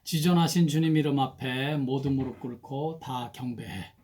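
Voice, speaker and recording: A male pastor with a hoarse voice, leading morning worship and prayer in a slow, soft-spoken, halting way. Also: medium pitch. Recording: faint guitar music behind.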